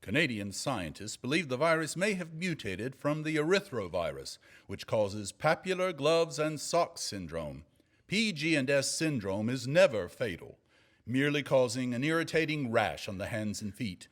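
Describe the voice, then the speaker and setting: Man acting a hammy, animated news co-anchor. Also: mid-range voice, moderate pace, lightly acted. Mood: pompous, formal. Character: hammy, self-assured male TV news co-anchor. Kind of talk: scripted newsreading in a comedy sketch.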